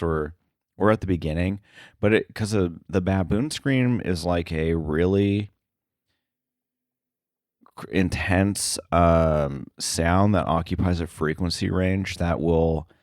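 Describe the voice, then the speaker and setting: Low-voiced man, hesitant and thinking aloud. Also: measured pace, a little mellow, dry recording, studio quality. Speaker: podcast guest, sound effects editor. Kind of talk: casual interview chat.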